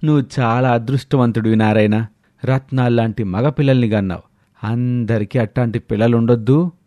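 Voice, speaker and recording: A man's voice: medium pitch, fairly even intonation, fairly quick, warm tone, no room sound, natural voice. A man voicing a chatty, rustic older farmer neighbour in a story, folksy and resigned.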